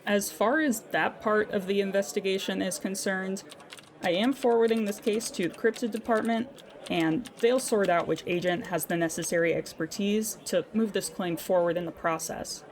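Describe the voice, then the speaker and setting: A female claims agent played by an actress talks to herself in an audio drama, in a medium-pitched voice. She is weary and dry, businesslike with a sardonic edge. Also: normal speed, natural voice. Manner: dry, exasperated asides amid businesslike dictation.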